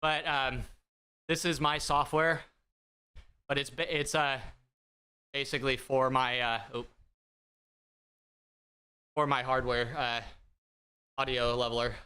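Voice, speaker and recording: A man hosting a live stream, chatting casually with viewers in a bright, higher-pitched voice, rambling and self-deprecating with lots of fillers. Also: level tone, takes it slowly, pauses often, smooth. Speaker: livestream host. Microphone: desk streaming mic through an audio mixer.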